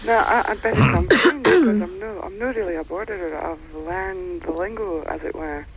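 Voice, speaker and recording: A caller whose first language is German, speaking broad East Lothian Scots in a cheerful, laughing way. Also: male voice, sits high, expressive, normal speed, gravelly, crowd noise, low-quality recording. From Scotland. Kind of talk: phone-in chat.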